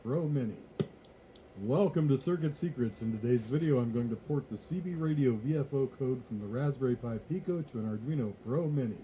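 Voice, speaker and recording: A man reading a scripted technical tutorial in a measured, matter-of-fact way. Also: mid-range voice, dark tone, low-quality recording. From United States. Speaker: electronics tutorial narrator.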